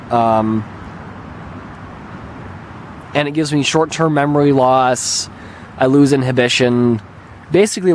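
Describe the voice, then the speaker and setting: A man speaks at a middle pitch with a slightly raspy, hoarse edge. He chats in an unhurried, musing way, as a channel host would. He is recorded outdoors on a handheld camera, with faint ambient noise around him. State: mildly hoarse, recovering throat. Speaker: video channel host and gamer. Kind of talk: casual rambling vlog talk. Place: open lakeshore beach outdoors. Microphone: handheld camera held by the speaker.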